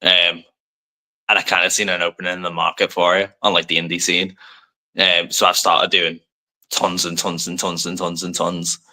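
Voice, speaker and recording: Deep-voiced young English man, rambling. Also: flat delivery, moderate pace, sharp tone, low-quality recording. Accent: soft English, light Americanisms. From Liverpool, England. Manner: rambling, many fillers, self-deprecating. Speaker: professional wrestler, guest. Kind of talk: casual podcast interview.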